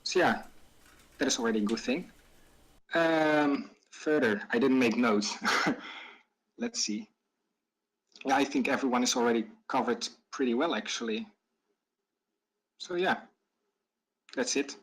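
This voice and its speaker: A man giving informal feedback in a halting, plain-spoken way. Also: medium pitch, animated, a bit bright, husky, poor audio.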